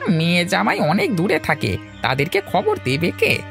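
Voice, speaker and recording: A man's voice: sits high, wildly swooping pitch, normal speed, vocal fry, music in the background, exaggerated voice. A man playing a gossipy, disapproving village neighbour in a cartoon story. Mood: concerned, disapproving.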